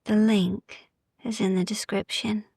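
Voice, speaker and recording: A woman guiding a sleep meditation in a soft, gentle, soothing ASMR style, hushed and often slipping into whispers, with long pauses. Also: medium pitch, little pitch movement, vocal fry, slightly rough, dry recording, clean studio sound. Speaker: sleep meditation podcast host.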